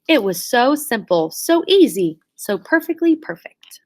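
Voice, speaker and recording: A woman reading a children's story aloud expressively, with theatrical, drawn-out emphasis on key words. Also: on the high side, sing-song. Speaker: storybook reader.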